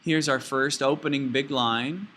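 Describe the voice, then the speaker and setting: A man teaching an informal step-by-step software tutorial, playfully talking himself through each action out loud. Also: medium pitch, unhurried.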